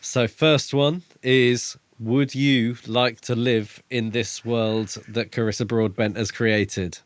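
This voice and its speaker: A man's voice: medium pitch, fairly even intonation, slow pace, no echo. A man co-hosting a podcast, talking casually about books in a hesitant, hedging way. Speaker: podcast co-host. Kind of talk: casual book discussion.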